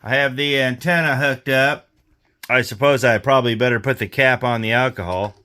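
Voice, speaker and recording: A man hosting a repair vlog, talking casually and thinking aloud in an unhurried way as he demonstrates hands-on work. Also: mid-range voice, steady tone, pushed and bright. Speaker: host of a radio repair vlog.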